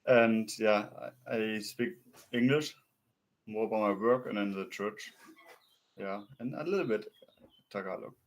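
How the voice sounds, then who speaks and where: A German-speaking man with a German accent, answering in halting, broken English. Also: fairly low pitch. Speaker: guest.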